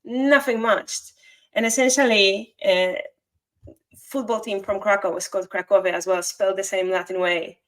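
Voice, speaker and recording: A woman's voice: mid-range voice, fairly even intonation, moderate pace. Polish-accented woman explaining at length. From Poland. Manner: fluent, explanatory, with small grammar slips and restarts. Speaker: guest SEO consultant. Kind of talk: conversational interview.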